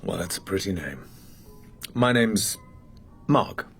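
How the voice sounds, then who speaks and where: An actor playing a suave, world-weary British secret agent in a radio drama, with an English accent and a clipped, dry, understated delivery. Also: male voice, low voice, expressive, slow pace, fairly bright tone, faint music behind, full character voice. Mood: casual, charming.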